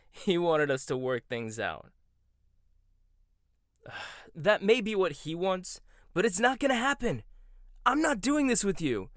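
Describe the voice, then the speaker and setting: A man's voice: sits high, sing-song, takes it slowly, pauses often, a bit bright, no echo, clean studio sound, full character voice. A man playing a proud, combative young head chef in an audio roleplay, talking in a sarcastic, confrontational way. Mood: defensive. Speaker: voice actor.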